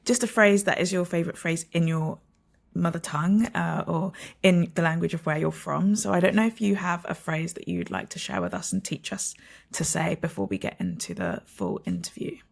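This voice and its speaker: A woman's voice: lowish voice, expressive, moderate pace. A woman co-hosting a podcast, reading an introduction and then putting questions to a guest, hesitant when improvising. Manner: reads smoothly, hesitant when asking questions. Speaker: podcast co-host.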